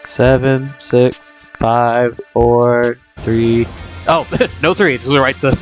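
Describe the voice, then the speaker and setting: A man's voice: mid-range voice, flat delivery, slow pace, soft background music. A young man with a sniffly, congested voice from a cold, chatting casually as a live-stream host in a rambling way full of fillers and restarts.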